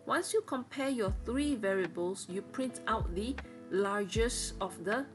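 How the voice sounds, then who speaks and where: A woman's voice: mid-range voice, animated, a little slow, over music. A woman teacher patiently walking students step by step through programming exercises in a screen-recorded lesson.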